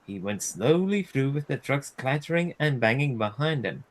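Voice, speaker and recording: A man reading a children's storybook aloud as a storyteller, in an animated style. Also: mid-range voice, normal speed. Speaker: storyteller, streamer.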